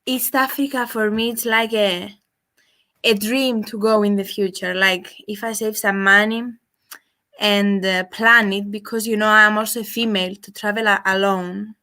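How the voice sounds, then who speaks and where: A woman's voice: medium pitch, fairly even intonation, normal speed, pushed and bright. A young woman from Cyprus whose first language is Greek, calling in and chatting in English with hesitant restarts and fillers. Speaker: caller. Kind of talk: casual call-in chat.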